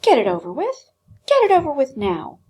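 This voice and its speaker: A woman's voice: sits high, huge pitch swings, moderate pace, mellow, pitched above the performer's own voice. A woman voicing a bossy old antique doll in a storybook, with an emphatic, exhorting delivery. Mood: scolding. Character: old female antique doll, stern, bossy, urging hope.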